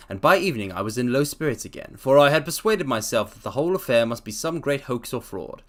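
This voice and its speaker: A man's voice: medium pitch, a bit bright. A man voicing an earnest middle-aged shopkeeper who tells his own story plainly.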